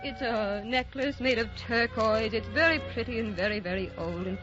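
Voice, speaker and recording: An actress playing a gentle, youthful wife with an earnest, anxious manner in an old radio drama. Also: female voice, on the high side, moderate pace, music in the background, natural voice. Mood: agitated, evasive. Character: gentle young-looking wife, anxious, secretive about her youth. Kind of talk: 1940s radio drama acting. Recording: dull vintage radio recording.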